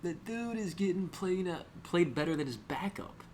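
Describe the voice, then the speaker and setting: A man's voice: high-pitched, expressive, normal speed, darkish tone, slight background noise. A man co-hosting a sports podcast in casual banter, slangy and jokey, often slipping into mocking imitations of players.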